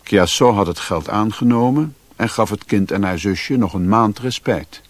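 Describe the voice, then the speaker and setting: Man playing a low-voiced, sombre adventurer-narrator. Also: normal speed, no room sound, natural voice. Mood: tense, reflective. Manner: sombre, literary storytelling. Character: foreign man recounting his grim adventures, sombre. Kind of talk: scripted radio drama narration.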